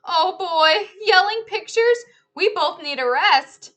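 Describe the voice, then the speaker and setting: A woman's voice: extremely high pitch, lively intonation, slow pace, a bit bright, voice pushed up, exaggerated voice. A woman voicing smug, dim male art thieves from a children's storybook in showy, conspiratorial talk. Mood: rattled.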